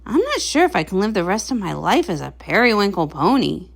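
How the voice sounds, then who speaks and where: A woman's voice: medium pitch, sing-song, fairly quick, creaky, voice pushed down. A woman voicing a vain, fussy pony patient who complains dramatically in a comic read-along. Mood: fussy, dismayed. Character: vain fussy blue pony patient, fretting over its coat.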